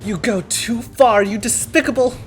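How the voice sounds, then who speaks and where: A hot-tempered, righteous warrior woman from a visual novel, played by a man. She speaks with forceful, growling indignation. Faint music plays underneath. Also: extremely high pitch, moderate pace, voice pushed up. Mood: enraged. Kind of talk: dramatic visual novel character acting.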